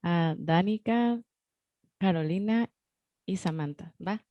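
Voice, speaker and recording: A woman's voice: lowish voice, slow pace, no room sound, highs cut off. A woman, a teacher moderating a school question session, polite and formal in her thanks. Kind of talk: hosting a school Q&A.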